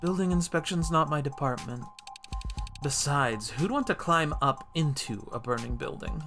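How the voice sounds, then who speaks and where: A man's voice: fairly high pitch, animated, moderate pace, a bit bright, soft background music. A man voicing a wry, street-wise detective in a cyberpunk noir game, with sardonic, clipped delivery. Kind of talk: acted reading of game dialogue.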